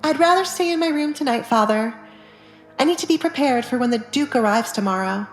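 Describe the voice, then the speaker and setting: A determined young noblewoman, voiced by a woman holds her ground in an audiobook drama. Her voice is fairly high, guarded and stubborn, with a roomy sound and faint music behind. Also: expressive, fairly quick. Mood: defiant, firm.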